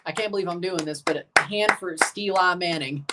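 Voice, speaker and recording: A man streaming a live match watchalong, chatting casually and calling goals with excitement while guarding a strained voice. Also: high-pitched, a little fast, far from the mic, very noisy.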